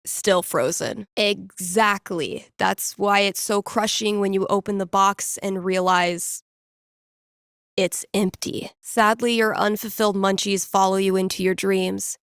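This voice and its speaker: A woman's voice: mid-range voice, steady tone, measured pace, fairly bright tone, smooth, dry recording. Young female radio dj from a video game, chill n playful, slangy wry patter, super dry close studio sound. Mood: wry, mock-dramatic. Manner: wry, slangy, mock-dramatic. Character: laid-back, playful young woman radio DJ doing fake psychic predictions. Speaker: call-in radio show host.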